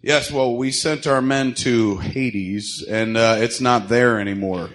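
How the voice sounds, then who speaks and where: A man playing an exasperated, sarcastic henchman who placates his boss, casual and halting, in an amateur audio drama. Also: mid-range voice, fairly bright tone. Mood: placating. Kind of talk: amateur radio drama acting.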